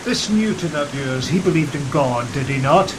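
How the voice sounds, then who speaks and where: A man plays a sea captain in a gothic horror radio drama. He is measured, pious and drily sceptical, in a fairly high voice. Loud rain fills the background. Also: expressive, natural voice. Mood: wry, musing. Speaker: actor in an audio drama. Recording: loud rain noise behind.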